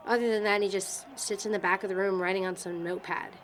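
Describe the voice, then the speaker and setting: Casting: actress as a hesitant, wry young woman, mid pitch, audio drama, slight noise. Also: fairly even intonation, fast talker, lightly acted. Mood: thoughtful, uneasy. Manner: hesitant, searching for words.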